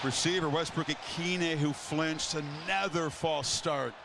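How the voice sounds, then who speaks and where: A man's voice: on the high side, steady tone, a little slow, vocal fry. A man doing televised play-by-play commentary on a football game. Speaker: play-by-play announcer. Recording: noisy stadium game broadcast.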